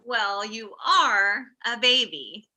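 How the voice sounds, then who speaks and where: A woman performs a pushy, syrupy cartoon character with a very sharp, bright edge. The pitch is a bit high and swings widely, with blunt comic delivery. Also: lively intonation, slow pace, heavily acted. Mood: syrupy, condescending. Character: blunt comedy booker and chirpy mommy-group leader. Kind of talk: comedy table read of an animated pilot.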